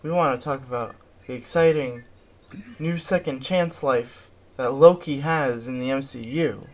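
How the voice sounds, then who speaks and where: Male podcast co-host, mid-range voice, unhurried and halting, casual chat. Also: mid-range voice.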